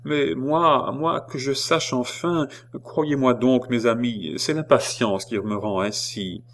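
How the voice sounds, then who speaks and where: A man's voice: medium pitch, slow pace, a bit bright, voice pushed up. A man voicing an anxious, proud captive woman in an audiobook, tense and pleading.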